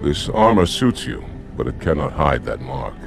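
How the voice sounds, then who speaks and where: A fierce, hard alien officer, proud and devout. His animated voice declaims with military formality in a dramatic sci-fi game, over clear background music. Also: extremely low pitch, moderate pace, full character voice. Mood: cold disdain. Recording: music under the voice.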